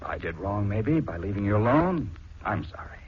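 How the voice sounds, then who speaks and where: A man's voice: on the low side, big pitch swings, moderate pace, slightly rough. A man acting an easygoing, teasing, baffled husband in a 1940s radio drama, on a muffled old recording. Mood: coaxing, teasing.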